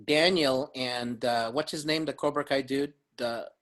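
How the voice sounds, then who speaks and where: A man's voice: mid-range voice, a little slow, a bit bright. A man from the Dominican Republic, a podcast guest talking casually, rambling with many fillers and laughing often. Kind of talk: casual chat.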